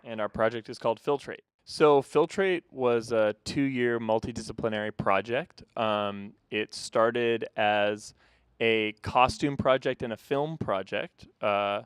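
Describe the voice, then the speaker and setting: A man from California, a filmmaker explaining his project in an interview, measured and explanatory with a few fillers. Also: medium pitch, clean tone, no echo. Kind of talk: casual interview answers.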